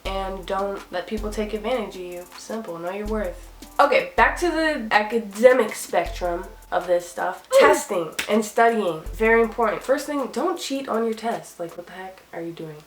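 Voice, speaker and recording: A woman's voice: medium pitch, expressive, normal speed, roomy sound. A young woman vlogger giving casual, sassy advice about school, her voice tired and a little cracked. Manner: chatty, sassy, upbeat, lots of "like". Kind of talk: casual talk to camera.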